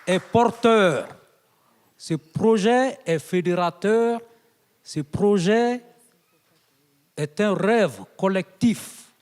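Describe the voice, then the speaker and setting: A man from Guinea addresses a conference in a deliberate, unhurried way. His fairly high voice swells into emphatic, oratorical appeals. The sound carries a long reverb from the hall. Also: darkish tone. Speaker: invited guest speaker. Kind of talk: conference speech.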